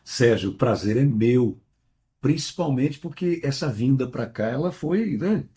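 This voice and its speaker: Middle-aged pastor guy, mixed brazilian accent, husky and warm, calm storytelling. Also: mid-range voice. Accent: mixed Brazilian Portuguese. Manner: earnest, measured, tells anecdotes with quoted voices. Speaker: pastor and church president. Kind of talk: interview guest, conversational storytelling.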